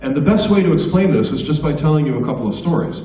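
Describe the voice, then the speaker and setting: A man's voice: a little high, animated, fast, warm tone. A middle-aged American man telling comic stories and teasing students as a guest speaker at a school assembly. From United States. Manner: joking, teasing the audience. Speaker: guest speaker, stand-up comedian. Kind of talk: stand-up storytelling to students. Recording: echoey hall from far off.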